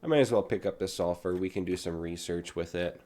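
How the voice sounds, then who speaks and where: A young man with a cold and a raspy throat, casually talking through a video game as he plays. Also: deep voice, brisk. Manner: relaxed, chatty, talks while playing. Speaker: gaming channel host. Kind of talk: casual gameplay commentary.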